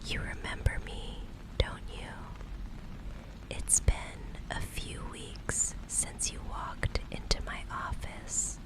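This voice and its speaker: A woman playing an obsessive, tender private investigator in an ASMR roleplay, speaking in breathy, soothing, intimate reassurance. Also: natural voice, poor audio. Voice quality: breathy, unvoiced whisper. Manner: slow, hushed, soothing reassurance.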